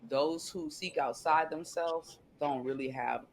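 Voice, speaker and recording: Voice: mid-range voice, unhurried, a little hiss or hum. A podcast host asking questions in an earnest, conversational way, leaning on "you know". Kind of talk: casual interview chat.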